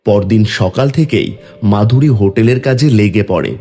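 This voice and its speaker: A man's voice: fairly low pitch, normal speed, dark tone. A man narrating a scripted cartoon story as its storyteller. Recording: music behind the voice.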